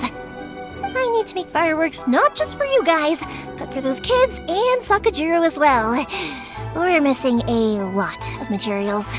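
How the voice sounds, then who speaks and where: Cheerful young game heroine, very high voice, lively intonation, talkative, rambling, enthusiastic. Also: female voice. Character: cheerful, chatty young woman fireworks maker. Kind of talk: anime-style video game dialogue acting. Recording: music under the dialogue. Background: music.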